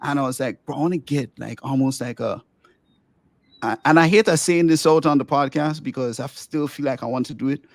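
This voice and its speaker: A middle-aged man from Grenada with a light, somewhat squeaky voice, recorded close and dry with no room sound. He hosts the chat with eager, rambling talk and often starts over mid-sentence. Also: a little fast, creaky, no echo. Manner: enthusiastic, rambling, many restarts. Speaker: podcast host and musician. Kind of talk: casual podcast interview.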